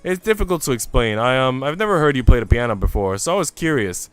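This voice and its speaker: A man reads as a young housemaid in a visual novel, earnest and apologetic. She speaks hesitantly and politely to her betters, in a smooth voice with a dry sound. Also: mid-range voice, animated, fast, no echo, soft background music, lightly acted. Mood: flustered, earnest. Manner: hesitant, deferential.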